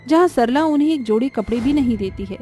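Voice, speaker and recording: A woman's voice: a little high, expressive, fast, mellow, soft background music. A woman narrating a scripted family story as its storyteller.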